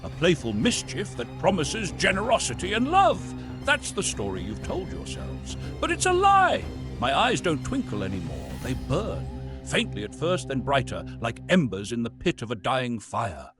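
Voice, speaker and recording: A man playing a weary, tormented, sinister old Santa, narrating a horror confession in a brooding, deliberate, theatrical way. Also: medium pitch, wildly swooping pitch. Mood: bitter, weary. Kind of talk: dramatic horror monologue narration. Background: music.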